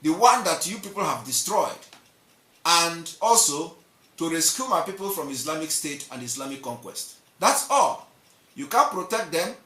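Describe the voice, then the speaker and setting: A man's voice: fairly high pitch, sing-song, moderate pace, bright, forceful tone, recorded from a distance. A man with a Nigerian accent giving an impassioned, repetitive political monologue, mocking and emphatic. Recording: roomy echo, off-mic.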